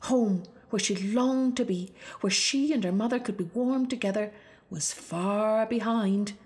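A woman reads a children's picture book aloud as a storyteller. Her voice sits at a middle pitch, and she delivers the tale expressively, as scripted narration for young listeners. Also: a little slow, darkish tone. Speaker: storybook reader.

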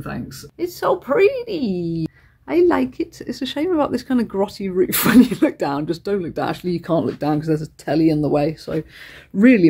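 A woman's voice: lowish voice, creaky, mellow. A young woman vlogging from a hotel room, rambling and giggly, hungover and getting a sore throat. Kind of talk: casual vlog chat.

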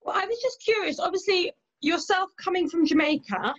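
A woman interviewer asks her question in an inquisitive, fairly quick way. Her voice sits high. The sound is dull and lo-fi. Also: lively intonation.

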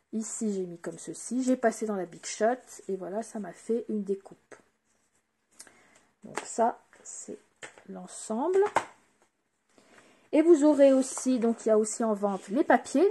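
A woman presenting craft products in a casual, hesitant way, pausing often with fillers while showing items to the camera. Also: medium pitch, dark tone, husky.

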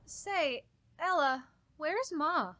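A woman's voice: high voice, expressive, extremely slow, sharp tone, full character voice. A woman playing a mild, unsuspecting wife in a radio horror drama. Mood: unworried. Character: unsuspecting, mild wife looking for her mother.

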